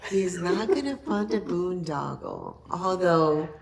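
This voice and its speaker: A woman's voice: lowish voice, lively intonation, takes it slowly, creaky. A woman guest on a podcast casually telling an anecdote, voicing quoted exchanges in a conversational way.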